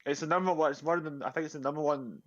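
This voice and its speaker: A man's voice: fairly high pitch, steady tone, racing, muffled recording. A man with a British accent bantering with friends on a gaming stream, teasing and insistent, often laughing.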